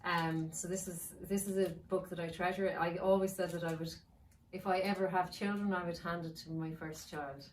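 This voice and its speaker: Lower-voiced woman storyteller in echoey room. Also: steady tone, moderate pace. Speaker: children's author. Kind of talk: storytelling and reading aloud to children. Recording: roomy, distant mic, faint noise.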